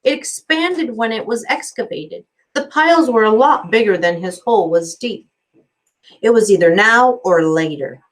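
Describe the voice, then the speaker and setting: A woman narrating a children's novel aloud as a teacher would, with expressive storybook delivery. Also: on the high side, normal speed, low-quality recording.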